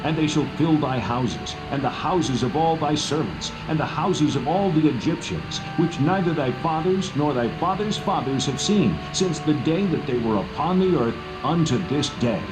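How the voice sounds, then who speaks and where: Male actor as bold prophet, fairly high voice, stern declamation, prominent music behind. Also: expressive, normal speed, hardly any pauses, close to an everyday voice, rough recording. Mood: stern warning. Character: bold male prophet delivering a divine warning. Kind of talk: dramatised scripture acting. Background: prominent background music.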